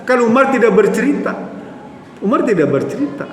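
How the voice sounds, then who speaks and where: A man's voice: very high-pitched, sing-song, brisk, a little hiss or hum. A man giving a sermon, telling stories and often addressing the listeners as "Pak". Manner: addresses the audience as "Pak", tells stories with acted quotes. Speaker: preacher. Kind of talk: Islamic sermon storytelling. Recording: echoey hall with faint ambience.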